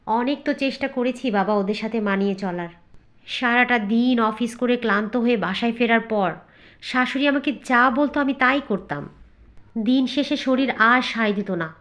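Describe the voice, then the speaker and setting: A woman's voice: a little high, normal speed, a bit bright, natural voice. A woman voicing a hurt, defiant young working wife in a cartoon, firm and outspoken. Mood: weary. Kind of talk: cartoon voice acting.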